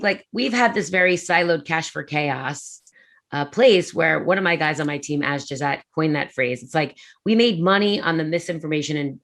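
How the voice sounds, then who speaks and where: Casting: businesswoman, deep-set voice, chatty and animated, many fillers. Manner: fast, animated, filler-heavy. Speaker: prefab industry executive. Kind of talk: conference talk conversation.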